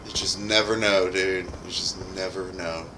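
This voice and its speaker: A man's voice: low-pitched, fairly even intonation, measured pace, noisy background. A man giving casual, profane gameplay commentary as a gamer. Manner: profane, informal. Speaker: gamer streamer and modder. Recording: music behind the voice. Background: loud music.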